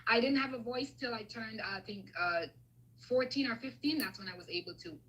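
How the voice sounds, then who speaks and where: A woman's voice: a little high, a little fast, fairly bright tone, low-quality recording. A woman from Guyana giving a fervent, emotional spiritual testimony on a live call, repeating herself as she preaches. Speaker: guest speaker on a live video call.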